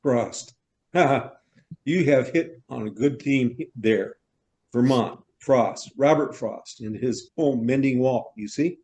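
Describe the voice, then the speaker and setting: A man's voice: mid-range voice, slow, a little mellow. Man acting an effusive middle-aged novelist in stage drama. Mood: chatty. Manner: theatrical, wordy, exclaiming. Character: effusive middle-aged male novelist, newly arrived from New York. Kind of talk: stage reader's theater acting.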